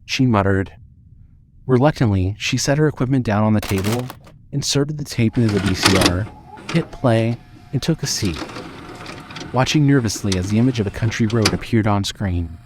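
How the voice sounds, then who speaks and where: A man's voice: lowish voice, moderate pace, background noise. A man narrating a scripted audio drama and reading its credits as the narrator. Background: music under the voice.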